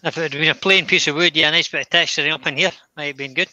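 Bright, easygoing man at his lathe. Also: a little high, steady tone, very fast, dry recording. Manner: easygoing, teasing banter. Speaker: woodturner hosting a live stream. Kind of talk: casual chat while working at a lathe.

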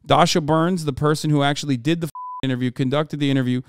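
A man's voice: fairly high pitch, steady tone, fast, slight background noise. A man in his thirties whose first language is Turkish, reacting to news clips on a live stream in a profane, emphatic, exasperated way. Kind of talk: casual reaction commentary. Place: home streaming room. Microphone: studio microphone on arm.

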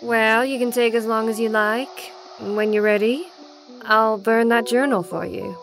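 An actress plays a resolute, sympathetic woman who handles ghosts. She speaks composedly and directly in a slightly high voice, in a radio drama with faint music. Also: a little slow, fairly bright tone, poor audio. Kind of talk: supernatural radio drama acting.

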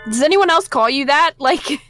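A woman streamer reading a visual novel aloud and adding wry, sassy commentary with animated reactions. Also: sits high, moderate pace. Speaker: game streamer reading along. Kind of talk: let's play, reading aloud with joking commentary. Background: game music.

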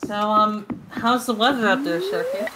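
A woman with a squeaky voice in casual stream chat, excitable and cheerful. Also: moderate pace. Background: game music.

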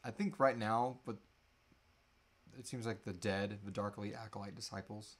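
Low-voiced man chatting haltingly. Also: fairly even intonation. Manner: halting, trailing off mid-thought. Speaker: host answering audience questions. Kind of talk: casual live Q&A chat. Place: small indoor room.

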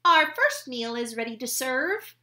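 An excitable young bullfrog with a high, bright, springy voice that leaps up in cries, as a woman storyteller puts him on. The pitch jumps well above her own speaking voice and the delivery stays lively and animated throughout. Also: measured pace, full character voice. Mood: cheerful. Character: young male bullfrog, eager and easily worried. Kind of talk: storybook read-aloud.

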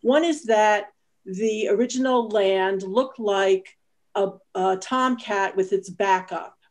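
A woman's voice: mid-range voice, slow pace. A woman who works as a park interpreter gives an informal historical explanation on a video call. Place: indoors at home, video call. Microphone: video call microphone.

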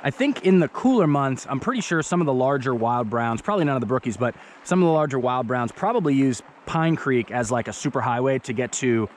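A young man vlogging as he fishes outdoors by a creek, chatting in a relaxed, eager way into an action camera he wears. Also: mid-range voice, a little fast, few pauses, slight background noise. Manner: relaxed, chatty, enthusiastic about fish. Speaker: fishing vlogger. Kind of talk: casual outdoor commentary. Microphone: action camera on the body.

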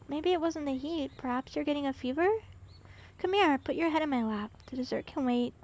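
A woman's voice: high voice, a little fast, lightly acted. A woman doing a sweet, obsessive girlfriend. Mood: caring. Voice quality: soft, sweet, intimate whispering. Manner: sugary and doting with a creepy edge. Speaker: ASMR voice actress. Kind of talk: ASMR roleplay.

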